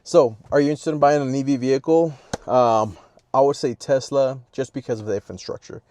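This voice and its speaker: A man's voice: medium pitch, steady tone, fairly quick, darkish tone, slight background noise. A man with a Mexican Spanish accent vlogging casually at a public charger, rambling and self-deprecating. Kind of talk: casual vlog to camera. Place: outdoors at a public car charger.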